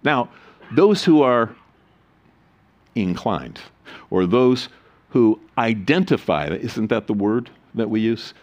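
A man preaching a sermon as pastor, reading scripture solemnly and adding joking, animated asides. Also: fairly low pitch, slow pace, long pauses, muffled recording.

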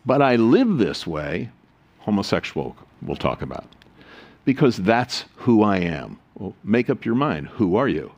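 A man preaching a sermon as pastor, reading scripture solemnly and adding joking, animated asides. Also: lowish voice, unhurried, lo-fi sound.

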